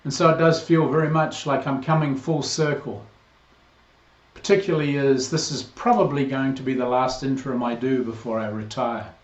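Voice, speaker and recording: A man in his sixties with a New Zealand accent, a priest giving a measured, earnest greeting to a parish. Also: mid-range voice, steady tone. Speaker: priest, incoming interim rector. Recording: roomy, slightly dull recording.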